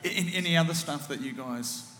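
A man with a big booming voice leads a casual church panel, full of enthusiastic affirmations. His words ring through a large echoey hall with long reverb, and a faint hum sits underneath. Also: a little fast, pushed and bright. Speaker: church pastor hosting a panel.

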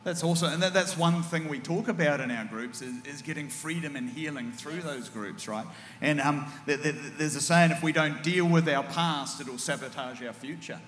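A man with a big booming voice leads a casual church panel, full of enthusiastic affirmations. His words ring through a large echoey hall with long reverb, and a faint hum sits underneath. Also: normal speed, fairly bright tone. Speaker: church pastor hosting a panel.

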